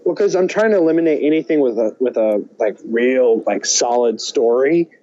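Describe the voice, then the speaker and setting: Animated, halting man with a mellow voice. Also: medium pitch, dull audio. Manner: hesitant, halting, thinking aloud. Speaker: podcast co-host. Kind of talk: casual chat about movies and games.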